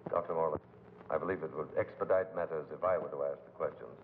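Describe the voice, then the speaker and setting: A man playing a skeptical, businesslike board member in 1950s film style, questioning in a measured, formal way. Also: steady tone, a little hiss or hum. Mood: skeptical, probing. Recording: boomy, tinny old film soundtrack, reverb.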